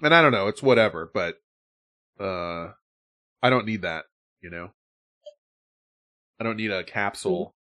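A man's voice: on the low side, animated, measured pace, long pauses, bright, forceful tone, faint music behind. A man hosting a podcast in casual chat, rambling, swearing freely and laughing often. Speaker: podcast host.

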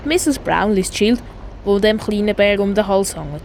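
A woman's voice: medium pitch, animated, moderate pace, darkish tone. A woman with a Swiss German accent narrating a scripted radio play for children. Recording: faint background noise.

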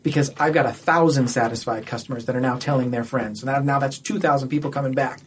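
A man's voice: mid-range voice, fairly even intonation, fast talker, a little hiss or hum. A man in a casual podcast discussion, making his case with rhetorical questions and little acted-out quotes. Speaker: podcast guest or co-host.

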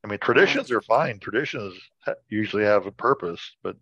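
A man's voice: lowish voice, lively intonation, a little fast, bright, forceful tone, husky. A man bantering casually and jokingly as a panelist on a word-guessing segment. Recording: dull lo-fi sound, roomy echo.

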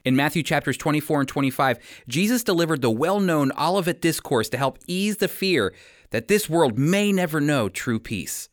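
A man's voice: on the high side, expressive, moderate pace, no echo, clean studio sound. A man preaching as a radio Bible teacher in a conversational sermon style, earnest and emphatic.

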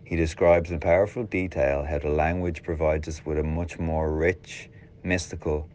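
A man's voice: a bass voice, fairly even intonation, moderate pace, darkish tone, a little hiss or hum. A man hosting a podcast, reading an introduction and then asking casual questions. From Ireland. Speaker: podcast host.

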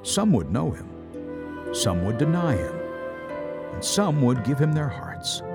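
A man's voice: mid-range voice, big pitch swings, measured pace, over music. A man narrating a scripted Bible story in a dramatic storytelling style.

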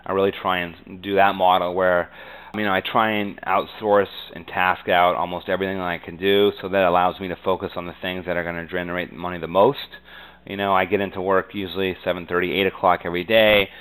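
An American man giving conversational interview answers as a business guest, earnest and halting, often saying "you know.". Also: low-pitched, flat delivery, a bit bright, clean tone, rough recording.

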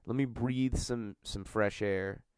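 Congested man with a smooth low voice. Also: steady tone, slow pace, a little mellow, dry recording. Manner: rambling, filler-heavy storytelling. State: congested from a cold. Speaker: podcast host. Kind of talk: casual podcast chat.

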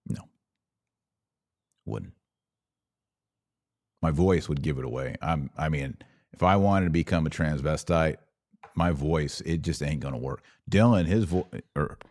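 A man's voice: rumbling low, darkish tone, no room sound, clean studio sound. An American man hosting a podcast, delivering opinion commentary in an emphatic, preachy manner full of rhetorical questions. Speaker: podcast host.

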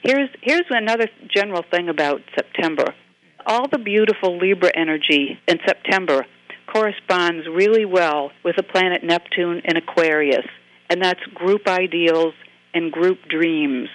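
A woman's voice: on the low side, moderate pace, no echo, low-quality recording. A woman giving a casual astrology forecast as a guest, chatty and thinking aloud as she goes.

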